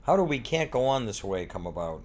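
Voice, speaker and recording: A man's voice: on the low side, sing-song, a little fast, a little mellow, slight background noise. A man interviewing a rock musician, asking short questions and introducing songs in a brief, matter-of-fact way.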